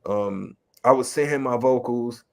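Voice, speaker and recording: A man from Chicago's West Side with a local accent and a slight New York tinge, a rapper and educator speaking in a relaxed, streetwise way as an interview guest. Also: medium pitch, measured pace, vocal fry. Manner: relaxed, streetwise, lots of "you know what I mean". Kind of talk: casual interview talk.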